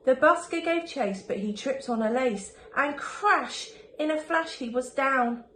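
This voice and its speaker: Echoey, high-voiced woman reading a children's story. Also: unhurried. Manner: sing-song rhyming delivery, animated storytelling. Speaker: primary school teacher reading aloud. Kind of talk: expressive children's picture-book reading. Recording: echoey room with faint background noise.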